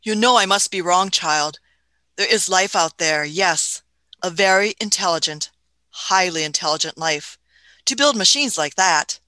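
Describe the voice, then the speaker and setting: Woman acting a bright, musing elderly scientist. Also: fairly low pitch, expressive, unhurried, no room sound. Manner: hemming, musing, punctuating with "hmm" and chuckles. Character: elderly time-travelling scientist, grandmotherly, curious, imperious. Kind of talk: radio play acting.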